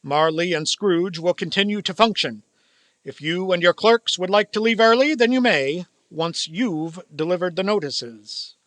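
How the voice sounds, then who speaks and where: A man's voice: high voice, expressive, unhurried, fairly bright tone, dry recording. An actor playing a cold, miserly old businessman in a radio play, speaking in a formal, sarcastic, condescending way. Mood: cold, stern. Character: cold, miserly old male business owner, scornful of Christmas. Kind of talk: theatrical radio play acting.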